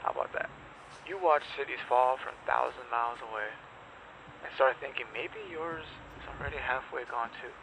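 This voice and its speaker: A man's voice: mid-range voice, normal speed, gravelly, close to an everyday voice. A man playing a jaded, world-weary radio host of a zombie apocalypse broadcast, delivering a sardonic, folksy, dramatic monologue. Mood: bitter, sardonic. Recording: dull, noisy lo-fi radio broadcast.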